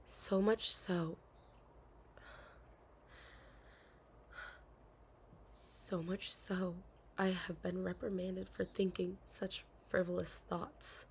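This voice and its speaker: A woman's voice: lowish voice, flat delivery, slow pace, a little mellow, a little hiss or hum. A woman playing a dutiful, anxious young princess in an intimate audio roleplay, speaking formally with hesitations and sighs. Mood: wistful, anguished. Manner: formal storybook diction, hesitant, sighing.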